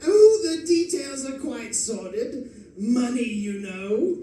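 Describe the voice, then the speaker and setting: An actor playing a gleeful, erudite criminal mastermind in an old-time radio drama, florid and condescending in manner. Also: male voice, extremely high pitch, animated, takes it slowly, warm tone, heavily acted. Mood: smug, scheming. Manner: theatrical, condescending, chuckling, florid. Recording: distant, very echoey live stage recording, faint noise.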